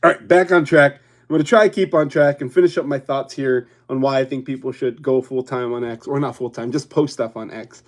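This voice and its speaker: A young man from Hawaii chats loosely with his livestream viewers. He rambles from one point to the next, drifts off track and laughs easily. His voice sits at an ordinary middle pitch. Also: steady tone, a little fast, hardly any pauses. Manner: rambling, chatty, laughs often. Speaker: YouTube livestream host. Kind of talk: casual livestream chat with viewers.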